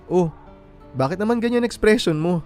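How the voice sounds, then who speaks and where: A man's voice: high voice, expressive, normal speed, a little mellow, faint music behind. Lightish-voiced, easygoing young guy. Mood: easygoing, teasing. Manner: casual, teasing. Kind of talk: radio romance drama dialogue.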